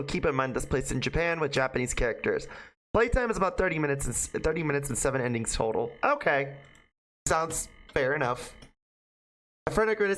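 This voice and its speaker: A game streamer chatting casually to viewers and reading aloud, informal and profane, with a halting delivery. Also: mid-range voice, a bit bright. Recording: music behind the voice. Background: music.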